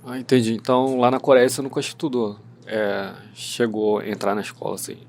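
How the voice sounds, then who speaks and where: A man's voice: mid-range voice, level tone, a little fast, darkish tone. Host, man, Rio accent, mid voice, short curious questions, faint hum. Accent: Rio de Janeiro Portuguese. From Brazil. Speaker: podcast host. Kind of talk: interviewing in casual chat.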